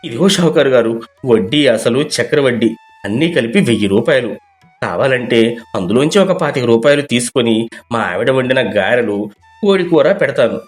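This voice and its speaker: A man voicing a proud, calm village husband who speaks in a firm, measured, dignified way. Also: medium pitch, soft background music, close to an everyday voice.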